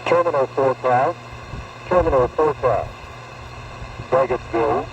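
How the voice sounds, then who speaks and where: A man's voice: mid-range voice, moderate pace, gravelly, muffled recording. A man making terse, formal, impersonal station announcements through a shortwave radio. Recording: crackly distant shortwave, very noisy.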